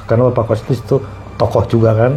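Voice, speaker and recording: A middle-aged man telling personal stories casually as a podcast guest, often pausing and repeating himself to find words. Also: medium pitch, little pitch movement, mellow, slight background noise. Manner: halting, repeats phrases as he searches for words. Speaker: podcast guest recounting past experiences.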